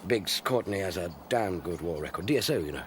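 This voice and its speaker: A noisy radio drama recording of a blustering British army Major. He is pompous and stern, clipped and harrumphing, with a very lively rise and fall. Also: low-pitched, fairly quick. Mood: pompous, uneasy. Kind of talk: BBC radio drama dialogue.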